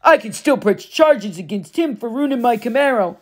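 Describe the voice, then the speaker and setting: A woman voicing a gruff, bullying stepfather who snarls and sneers. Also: on the high side, animated, moderate pace, voice pushed up. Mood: sneering.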